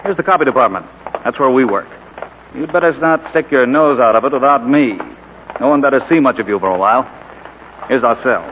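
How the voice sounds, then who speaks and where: A man plays a breezy, bossy ad-man pal in a 1940s radio play. He rattles along quickly and glibly. The recording is thin and tinny with faint noise. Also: mid-range voice, expressive, lightly acted. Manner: glib, bossy, familiar. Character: brisk, cocksure, breezy ad-man buddy. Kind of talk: 1940s radio drama acting.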